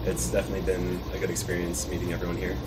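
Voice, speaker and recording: Low-voiced man in a casual interview. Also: monotone, rapid-fire, very noisy. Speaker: seasonal worker being interviewed. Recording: background music over the voice. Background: music.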